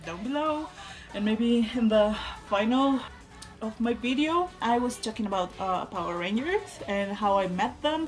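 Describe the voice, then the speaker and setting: Chatty young woman. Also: on the high side. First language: Spanish. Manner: chatty and enthusiastic, with many "like" and "uh" fillers. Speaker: vlogger. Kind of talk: casual fan vlog.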